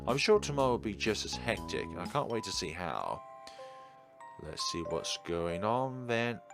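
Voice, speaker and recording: A man with a low voice hosts a gaming video. He narrates and comments casually with animated, varied intonation, while faint game music plays behind him. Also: moderate pace. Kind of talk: visual novel read-aloud and casual commentary.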